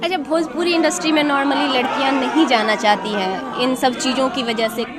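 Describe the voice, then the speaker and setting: A woman's voice: high-pitched, fairly quick, over a noisy crowd. A woman from India hosting a conversational entertainment interview, politely prompting her guest.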